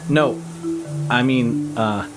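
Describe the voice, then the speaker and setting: Bashful helpful guy, mid-pitched, rambling and socially awkward, audio drama with prominent music. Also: extremely slow. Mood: flustered. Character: awkward, flustered, helpful man hosting alien visitors. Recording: loud music under the voice.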